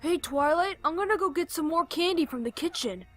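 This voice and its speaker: A woman's voice: very high voice, animated, a little fast, a bit bright, soft background music, pitched above the performer's own voice. A woman voicing a teasing, easygoing young dragon boy in a fan fiction reading. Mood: amused.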